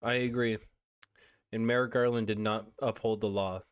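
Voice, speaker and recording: A man's voice: fairly low pitch, fairly even intonation, moderate pace, clear, resonant tone, no echo, studio quality. A young man in his late teens, congested, reacting to news on a livestream with frustrated, profane asides. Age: young adult. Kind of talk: casual livestream commentary.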